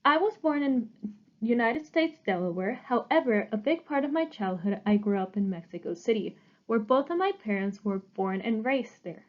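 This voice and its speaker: A woman's voice: fairly high pitch, lively intonation, moderate pace, muffled recording. A young woman in her twenties, an art student earnestly presenting her own work in a prepared talk, with small stumbles and restarts.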